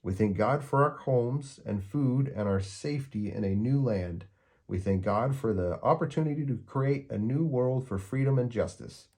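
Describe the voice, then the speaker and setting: A man's voice: mid-range voice, moderate pace, a little mellow, voice pushed up, close to an everyday voice. A man voicing an earnest, helpful young boy who speaks solemnly in a storybook reading. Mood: solemn, reciting.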